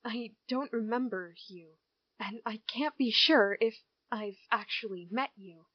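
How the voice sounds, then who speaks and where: Woman acting withdrawn girl, audio drama, halting delivery, unhurried, very dry sound. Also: fairly high pitch, no room sound. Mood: apologetic. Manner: hesitant, halting. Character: withdrawn small-town girl, hurt, hesitant and apologetic. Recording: dull, dry lo-fi home recording.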